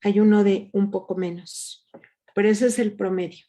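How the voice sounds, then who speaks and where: A woman's voice: medium pitch, fairly even intonation, moderate pace, dark tone. A woman leading an online workshop, patiently asking her group questions over a video call. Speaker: workshop leader.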